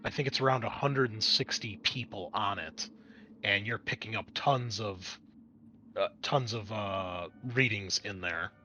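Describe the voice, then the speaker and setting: A man's voice: lowish voice, unhurried, pushed and bright, soft background music. A man narrating a tabletop roleplaying game as game master, in an explanatory, conversational way.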